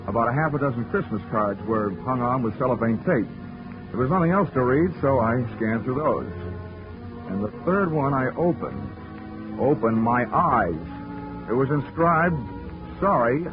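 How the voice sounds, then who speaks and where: An actor playing a hard-boiled insurance investigator, deadpan and wisecracking, in 1940s radio detective style. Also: male voice, mid-range voice, lightly acted. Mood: wry narration. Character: wry, hard-boiled insurance investigator narrating his case. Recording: muffled 1940s radio recording with music.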